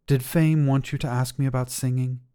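A man's voice: mid-range voice, flat delivery, a little fast, mellow, clear, resonant tone, natural voice. A man voicing a skeptical, unimpressed young woman in a story, curt and dismissive. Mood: curt, curious.

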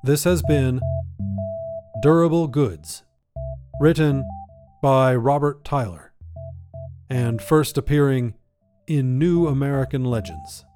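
A man's voice: medium pitch, lively intonation, slow, pauses often, mellow, faint music behind. A man reading scripted short fiction as the narrator and host of a story podcast.

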